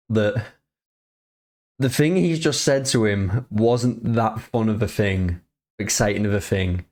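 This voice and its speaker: A man's voice: mid-range voice, a little mellow. Wry man reacting to a video. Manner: wry, chatty, laughing asides. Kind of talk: casual reaction commentary.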